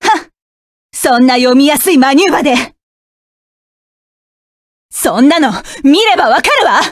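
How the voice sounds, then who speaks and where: A woman's voice: high-pitched, dry recording, clean studio sound. An actress voicing a fierce, cold young female mecha pilot in a game, giving curt, commanding battle lines. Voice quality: sharp, commanding.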